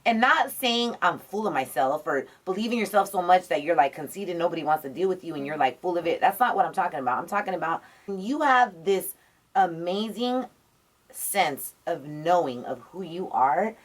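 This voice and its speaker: Raspy young woman guest, a bit low-pitched, upbeat and emphatic. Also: animated. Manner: emphatic, motivational, quick to laugh. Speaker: interview guest, radio personality. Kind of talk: casual chat.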